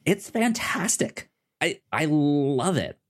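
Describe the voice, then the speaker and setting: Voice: medium pitch, very animated, slow pace. Stammering podcast co-host with a mid-range voice. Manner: stammering, repeats sounds and words. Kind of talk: casual TV discussion chat.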